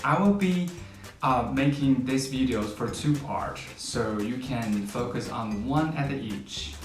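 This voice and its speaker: A man's voice: medium pitch, slow pace. Upbeat male vlog host, roomy sound. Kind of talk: casual interview. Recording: echoey room, mic at a distance, guitar music behind.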